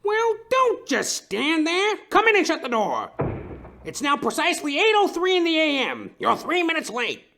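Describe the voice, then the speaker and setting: A man's voice: very high-pitched, very animated, normal speed, vocal fry, pushed and bright, a little hiss or hum, full character voice. A man playing a pompous, impatient businessman in his sixties who barks rudely in a detective dramedy audio play. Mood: barking, impatient. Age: 60s. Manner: barking, pompous, fussy.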